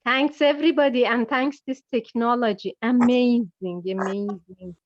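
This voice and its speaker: A woman greeting a group on a video call in a cheerful, unhurried way. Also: on the high side, steady tone. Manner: cheerful, slow, laughing. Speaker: meeting participant. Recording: dull video call audio.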